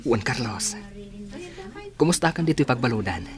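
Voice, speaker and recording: Man acting animated, forgiving young Filipino man. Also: mid-range voice, moderate pace, a crowd in the background, natural voice. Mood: forgiving, earnest. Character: forgiving, devout young man, a new engineer. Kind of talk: audio drama acting. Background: background music.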